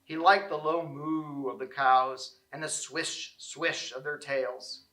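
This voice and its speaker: Male storybook narrator, bright and fairly high voice, expressive children's read-aloud, in an echoey room. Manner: expressive, deliberate phrasing. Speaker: storybook reader.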